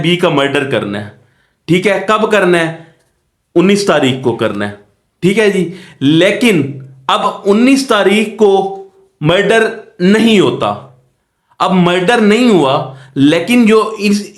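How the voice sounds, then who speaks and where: A man with a Pakistani accent teaching law in a didactic question-and-answer manner, mixing in English legal terms. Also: high voice. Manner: didactic, asks rhetorical questions and answers them. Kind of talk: lecture explaining a statute. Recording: echoey room.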